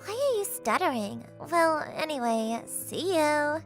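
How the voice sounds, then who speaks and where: A woman voicing a brisk, breezy teenage schoolgirl in a manga dub. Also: high voice, very animated, soft background music, exaggerated voice.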